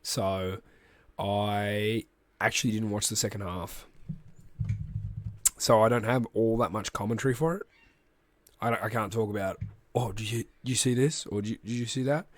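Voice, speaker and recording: A man's voice: lowish voice, fairly even intonation, normal speed, long pauses, no echo. An Australian man with a cold, phlegmy and coughing now and then, hosting a rambling, casual rugby league recap. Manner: rambling and chatty, with restarts and asides. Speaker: rugby league podcast host.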